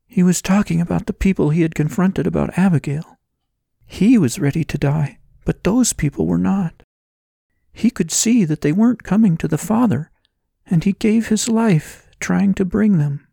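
Man voicing Levi, an anxious, questioning friend, higher-pitched. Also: a little slow, gravelly, warm tone, no echo, studio quality. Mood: earnest realization.

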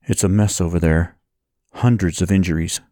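A man's voice: low-pitched, steady tone, moderate pace, dark tone, dry recording, studio quality, natural voice. A man voicing a shaken, grieving man in an audiobook story. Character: Adam, shaken, grieving man bringing grim news.

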